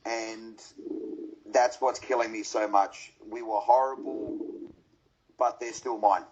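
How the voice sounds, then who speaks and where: A man with an Australian accent talking casually on a podcast panel, emotional, heartfelt and halting, with a husky voice. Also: on the low side, fairly even intonation, a little mellow, highs cut off.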